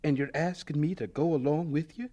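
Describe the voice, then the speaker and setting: An actor playing a young hired hand of about twenty in a radio drama, polite, reserved and hesitant. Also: male voice, fairly high pitch, brisk, mellow, lo-fi sound, natural voice. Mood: hesitant, wondering. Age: young adult. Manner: deferential, hesitant, subdued.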